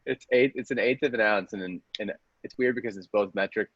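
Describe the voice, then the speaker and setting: A man with a lowish, rough-edged husky voice chats casually. The recording sounds a little muffled. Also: brisk. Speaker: podcast cast member. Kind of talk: casual chat. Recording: slightly muffled, lo-fi audio.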